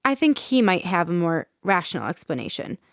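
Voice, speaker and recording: A woman's voice: fairly low pitch, very animated, brisk, dry recording, studio quality. A woman playing a concerned, inquisitive broadcast host in an audio drama.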